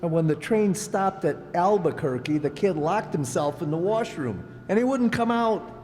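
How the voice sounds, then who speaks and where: Brief: a man doing a curt, deadpan grump for a live radio comedy, mellow dark tone, roomy hall. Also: sits high, normal speed, a little hiss or hum. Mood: sour. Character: small, blunt, sour brother-in-law. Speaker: amateur radio actor. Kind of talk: old-time radio comedy acting. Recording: live hall reverb with faint ambience.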